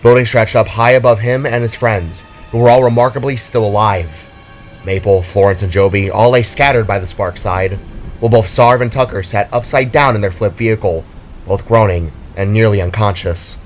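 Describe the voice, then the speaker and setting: A man's voice: lowish voice, moderate pace, faint music behind. A man reading a scripted, dramatic story as its narrator. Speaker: author and narrator.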